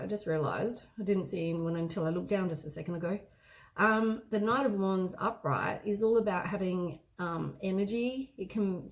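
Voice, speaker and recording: A hoarse woman with a head cold talks through a tarot reading at an ordinary middle pitch. She meanders through each card and often corrects herself mid-sentence, like a casual chat to camera.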